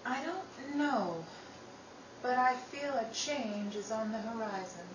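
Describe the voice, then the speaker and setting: Woman as skeptical older sister, coaxing, highish voice, radio drama, noisy recording. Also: takes it slowly. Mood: hushed wonder. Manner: coaxing, calls others honey. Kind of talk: old-time radio drama acting. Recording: roomy, distant, clearly noisy recording.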